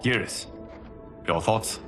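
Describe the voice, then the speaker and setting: An actor playing an earnest male guardian leader in a fantasy video game, speaking in a formal, measured way. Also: a bit bright, faint music behind. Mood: grave, resolute. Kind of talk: dramatic video game acting.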